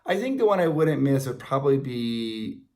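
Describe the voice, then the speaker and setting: A middle-aged man, hosting a casual, playful chat with animated, rambling talk. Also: mid-range voice, moderate pace. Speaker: show host. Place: small indoor room with banner backdrop.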